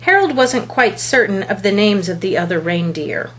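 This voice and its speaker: A woman reading a children's picture book aloud as a storyteller, with deliberate, expressive phrasing. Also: mid-range voice, a little hiss or hum.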